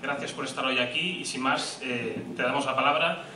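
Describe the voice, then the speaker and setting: A man's voice: medium pitch, normal speed. A man giving a formal, earnest introduction of a guest speaker at a rally, with a few fillers. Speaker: party official introducing a guest. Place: large indoor event hall. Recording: echoey hall, far from mic, noisy.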